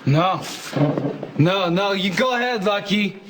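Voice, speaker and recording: An actor playing a furious, self-loathing drunk young man, loud and taunting, in a soap drama. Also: high-pitched, slow, noisy background. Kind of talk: television soap drama acting.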